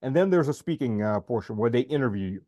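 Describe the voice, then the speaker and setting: A middle-aged man whose first language is Russian hosts a casual interview over a video call. His voice is dark and mellow, the sound dry and close, and he talks in a blunt, emphatic way, repeating points for weight. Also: mid-range voice, animated, fast talker, no echo. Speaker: podcast host.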